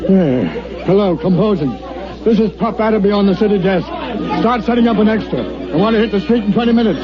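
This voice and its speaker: Casting: male actor as hard-nosed, middle-aged American city editor, high voice, barked slang, dull vintage radio sound. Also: fairly quick, music in the background, crowd noise, close to an everyday voice. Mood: urgent, commanding. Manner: barking orders in clipped newsroom slang. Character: gruff, impatient veteran newspaper city editor, male. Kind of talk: old-time American radio acting. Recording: dull vintage radio recording, crowded background.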